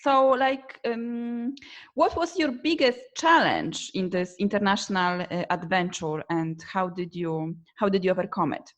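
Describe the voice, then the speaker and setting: A woman's voice: mid-range voice, measured pace. A woman from Poland with a Polish accent, hosting an interview and asking questions in a friendly, chatty way with many "like"s. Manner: friendly, laughs often, says "like" a lot. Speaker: podcast host. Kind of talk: casual interview conversation.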